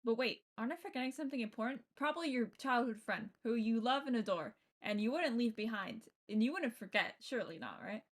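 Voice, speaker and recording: A woman streamer reading a visual novel aloud and adding casual, playful, expressive commentary. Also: on the high side, fast, hardly any pauses. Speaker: game streamer.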